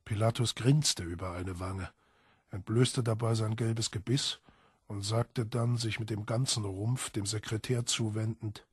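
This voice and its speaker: Male narrator, strongly husky, dry close recording, scripted literary audiobook. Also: lowish voice, fairly even intonation, unhurried, no room sound. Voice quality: husky, raspy.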